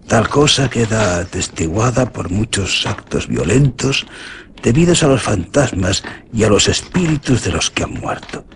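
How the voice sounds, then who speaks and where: Courteous doctor, lively Castilian delivery, noisy recording. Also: male voice, lowish voice, gravelly. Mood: musing. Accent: Castilian Spanish. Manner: deliberate, polite, theatrical. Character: courteous, inquisitive, calm male doctor in a suspense tale. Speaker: radio drama actor. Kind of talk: Spanish radio theatre acting.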